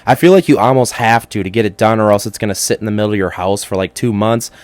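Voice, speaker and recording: A hoarse man with a cold hosts a casual solo podcast, chatty and excitable. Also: on the low side, fast talker, dry recording. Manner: chatty and excitable, with frequent fillers. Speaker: podcast host.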